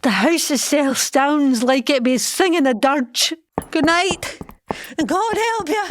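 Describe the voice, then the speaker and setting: Superstitious Scottish serving woman, high voice, slow ominous delivery, folksy dialect, comedy audio drama. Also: expressive, noisy background. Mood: ominous, fearful.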